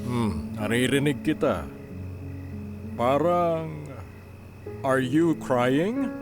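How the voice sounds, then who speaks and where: A man playing a concerned, gentle middle-aged father in a radio drama, with a Filipino accent. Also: medium pitch, expressive, drawn-out words, music in the background. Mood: concerned, tender.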